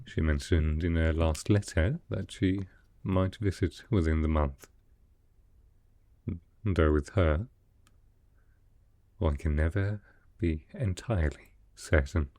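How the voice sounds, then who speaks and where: A man playing a sickly, proud, melancholy aristocrat in a roleplay audio drama, speaking softly in a formal, measured, dryly reserved way. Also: dry recording. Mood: dry, resigned. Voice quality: low, soft, with a cough.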